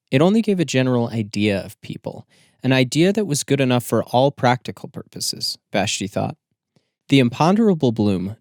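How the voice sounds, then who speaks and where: A male narrator reads literary fiction for an audiobook. His delivery is measured and made for storytelling, with a mid-range pitch. The sound is close and dry, free of room echo. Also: a little mellow.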